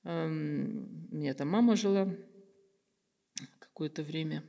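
An older woman from Kazakhstan, a guest artist answering questions thoughtfully, with many pauses and hesitant fillers. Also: low voice, gravelly, dark tone. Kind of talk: reflective interview talk. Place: bright room with plants, seated at table. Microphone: table microphone on stand. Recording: roomy reverberant room.

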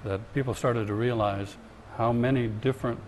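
A man hosting an interview, speaking in a relaxed, affirming, conversational way. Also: medium pitch, moderate pace, slight background noise.

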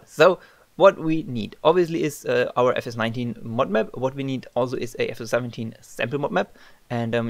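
German-accented man, gravelly and hesitant. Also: mid-range voice, dry recording. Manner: hesitant, many ums and uhs, restarts. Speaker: modding tutorial maker. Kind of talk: screen-recorded tutorial voice-over.

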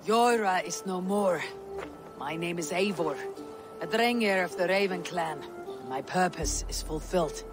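Actress as stoic Viking warrior, female, grave, measured delivery, game cutscene, background music. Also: fairly low pitch, close to an everyday voice. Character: stoic Viking warrior woman, weathered and solemn. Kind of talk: dramatic game cutscene acting, storytelling.

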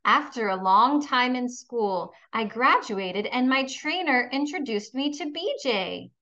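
Female kindergarten teacher reading to kids, highish voice, encouraging, bit muffled recording. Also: animated, unhurried, pushed and bright. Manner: encouraging, instructional, addressing young pupils with questions. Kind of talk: children's read-aloud lesson.

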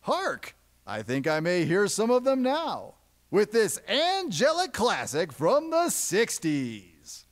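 Man playing a swooping, high-voiced DJ puppet. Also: huge pitch swings, slow pace, exaggerated voice. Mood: smooth announcing. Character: animated, exasperated male radio DJ puppet. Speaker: radio DJ host. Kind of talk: comic puppet-show radio patter.

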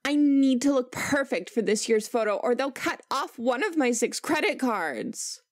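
A woman's voice: high-pitched, big pitch swings, normal speed, no room sound. A woman voicing a vain, entitled rich preteen girl in a cartoon comic dub, haughty and dramatic. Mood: distressed, whiny. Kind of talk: comic dub character acting.

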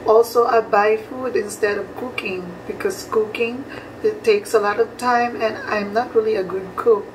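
A woman's voice: a little high, fairly even intonation, normal speed. A young adult woman from Cebu with a Cebuano Filipino accent, a native Cebuano speaker, giving a prepared personal vlog talk to camera. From Cebu, Philippines. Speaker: vlogger. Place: small plain room indoors. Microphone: phone camera a couple of metres away. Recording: distant phone mic, noisy room.

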